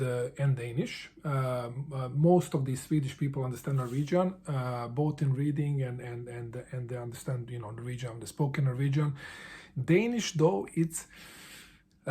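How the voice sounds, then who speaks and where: Guy answering a book tag, kinda husky and mellow, lots of stops and restarts. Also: mid-range voice. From Bosnia. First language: Bosnian. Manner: halting, with frequent restarts and repeated words. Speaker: book vlogger.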